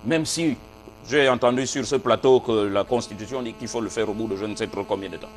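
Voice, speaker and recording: Firm, quick debate panelist. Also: male voice, on the low side, soft background music. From Gabon. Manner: firm and contradicting. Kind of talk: studio political debate.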